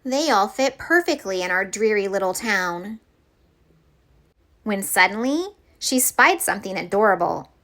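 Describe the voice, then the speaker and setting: A woman reading a children's picture book aloud as storyteller, with expressive, deliberate phrasing for young listeners. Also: medium pitch.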